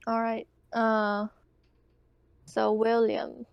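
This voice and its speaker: A woman's voice: on the high side, completely flat delivery, very slow, gravelly, clean tone, no room sound, lo-fi sound. A woman playing a tabletop role-playing game, speaking in character and chatting at the table with hesitant phrasing. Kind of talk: in-character role-play dialogue and table chat.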